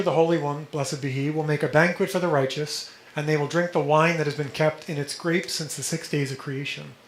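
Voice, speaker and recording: A man teaching a study group, explaining texts and often checking in with listeners, a little hoarse and clearing his throat. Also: on the high side. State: slightly hoarse, coughing. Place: indoor room with a vent. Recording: echoey room with background noise.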